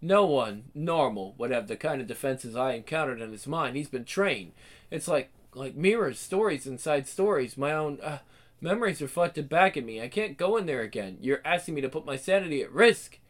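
A man's voice: medium pitch, lively intonation, normal speed, lightly acted. An actor playing a haughty aristocratic Englishman who speaks in clipped, nervous tones in a radio drama. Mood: anxious. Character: haughty aristocratic Englishman, uneasy mind-reader.

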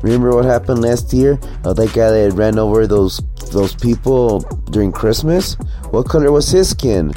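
A man's voice: mid-range voice, normal speed, warm tone, faint music behind. A man giving a casual, opinionated monologue in a blunt, sarcastic manner with plenty of swearing. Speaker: commentary vlogger.

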